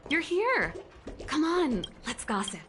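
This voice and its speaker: A woman voicing a wistful, teasing older-sister rock guitarist in a video game, with a conversational, playful delivery and a creaky voice. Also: high voice, very animated, slow pace, a bit bright, heavily acted. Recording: music under the voice.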